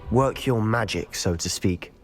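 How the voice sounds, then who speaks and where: A man's voice: lowish voice, a little slow, close to an everyday voice. A man voicing a reckless, driven teenage wizard boy in a video game, with an urgent, blunt manner. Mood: grim, scheming. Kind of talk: video game cutscene voice acting. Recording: game dialogue over background music.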